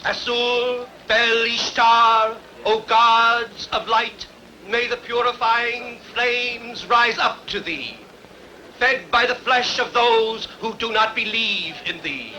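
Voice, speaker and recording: An actor playing a solemn ancient priest in a dubbed epic film, chanting an invocation to the gods. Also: male voice, extremely high pitch, pushed and bright, slight background noise, heavily acted, rough recording. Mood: solemn, fervent. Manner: slow, incantatory.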